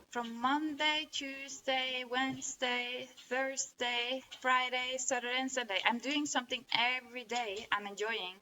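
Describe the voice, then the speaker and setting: A woman's voice: on the high side, pushed and bright, rough recording. A woman with a Norwegian accent, a native Norwegian speaker, talking through her experience in an earnest, rambling way, with many restarts. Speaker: vlogger. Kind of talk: casual reflective monologue.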